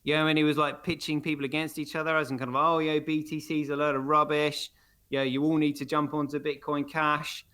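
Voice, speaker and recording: A man's voice: on the high side, level tone, fairly quick, bright, forceful tone, smooth. A man co-hosting a livestream, rambling with many fillers and restarts in casual chat. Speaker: livestream co-host.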